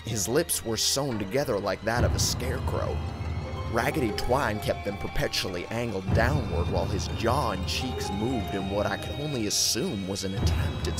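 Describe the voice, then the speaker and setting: A man with a fairly low voice narrates a horror story in the first person. His delivery is scripted, dramatic and expressive, built for suspense. Music plays clearly underneath the narration. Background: background music.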